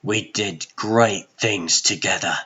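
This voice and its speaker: A man plays a weary but resolute comic-book sorcerer. His low, husky voice is measured and firm, slightly slow, with faint music behind it. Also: sharp tone, dull audio, exaggerated voice. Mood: quietly resolute. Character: weary, resolute sorcerer standing up to an old friend. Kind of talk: dramatic comic-dub acting.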